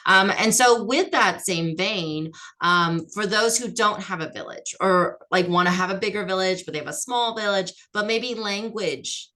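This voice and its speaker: A woman's voice: mid-range voice, moderate pace, sharp tone. A woman hosting a casual interview chat, friendly and chatty, with plenty of fillers as she asks questions. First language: not French. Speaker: host.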